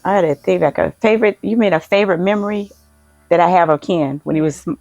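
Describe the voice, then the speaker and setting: An older woman fondly reminiscing about raising her children in a casual family conversation, laughing softly now and then. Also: on the low side, fast talker, vocal fry, no echo, lo-fi sound, faint music behind. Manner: fond and reminiscing, laughs softly while talking. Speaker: mother sharing family memories.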